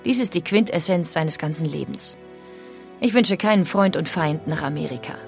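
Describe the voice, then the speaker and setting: A woman's voice: low voice, animated, moderate pace, gravelly, faint music behind. An actress playing an outspoken, critical emigrant woman of the 1800s, reading her letters home in a formal way. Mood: bitter, warning. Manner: formal, opinionated. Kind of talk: dramatic reading of historical letters.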